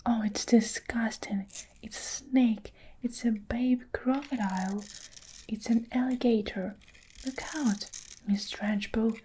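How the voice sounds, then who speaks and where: A woman whispering the lines of an excitable little schoolgirl in breathless exclamations. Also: on the high side, slow, husky, poor audio. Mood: alarmed.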